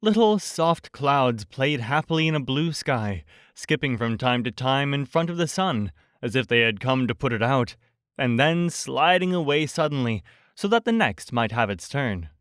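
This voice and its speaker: A man narrating a bedtime storybook as an audiobook reader, in a measured, expressive storytelling manner. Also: mid-range voice, clear, resonant tone, dry recording, studio quality.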